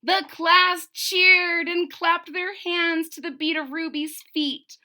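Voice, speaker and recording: A woman's voice: very high voice, steady tone, slow, bright, forceful tone. A woman reading a storybook aloud to young children, expressively, with simple explanatory asides. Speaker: storybook reader.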